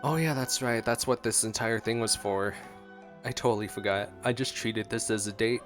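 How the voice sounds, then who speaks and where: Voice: medium pitch, normal speed. A streamer giving casual, playful gameplay commentary, reacting aloud to the game. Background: game music.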